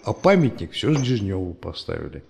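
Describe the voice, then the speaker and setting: A man's voice: low-pitched, big pitch swings, fairly quick, creaky, darkish tone, a little hiss or hum, exaggerated voice. A man playing an old grumbling grandfather in an audio drama, telling stories in a folksy, colloquial way. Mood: curious, skeptical. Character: old grumbling grandfather, fond storyteller of old history.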